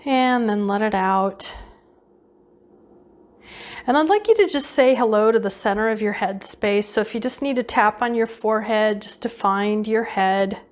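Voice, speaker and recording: A woman leading an unscripted guided visualization meditation, giving casual instructions and often repeating her phrases. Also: mid-range voice.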